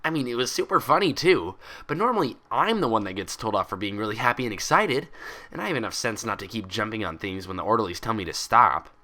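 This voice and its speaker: A man's voice: lowish voice, animated, quick pace, bright, forceful tone. A man voicing a hyper, cheerful, gullible young female cartoon pony in bubbly, rambling, childlike chatter.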